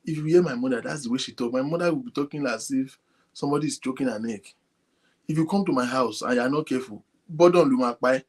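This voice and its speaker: Soft-spoken husky man hosting. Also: mid-range voice, quick pace. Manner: speaks softly, animated, addresses viewers directly. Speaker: online show host. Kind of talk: casual talk to a live audience. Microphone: phone linked to a computer.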